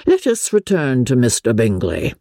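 A woman voicing a dry, unruffled middle-aged gentleman father in a classic novel audiobook, measured, deadpan and mock-solemn. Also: a bass voice, no echo, heavily acted. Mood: sly, composed.

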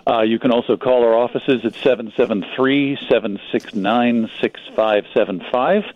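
A man's voice: medium pitch, fairly even intonation, moderate pace. Man, slightly muffled lo-fi sound. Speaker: magazine publisher guest. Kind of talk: conversational plug in an interview. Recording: slightly dull lo-fi line.

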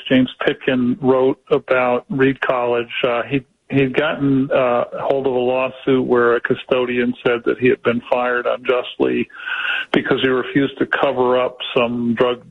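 A man, a newspaper reporter, being interviewed as a guest on a radio show and calmly explaining a story. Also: medium pitch, a little slow. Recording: phone-quality line.

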